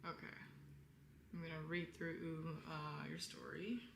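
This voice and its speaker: A young woman hosting a casual live stream, chatting to viewers in a candid, rambling way with a lowish voice. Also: little pitch movement, measured pace, gravelly. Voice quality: lower-toned voice. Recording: roomy echo, faint background noise.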